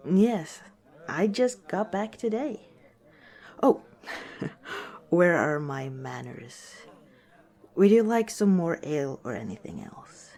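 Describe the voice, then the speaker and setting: A woman voices a female orc who runs a village tavern in an ASMR fantasy roleplay. She talks very slowly and gently, in a chatty, friendly way with a teasing streak. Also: on the low side, big pitch swings, heavily acted. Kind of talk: ASMR roleplay, softly spoken one-sided conversation.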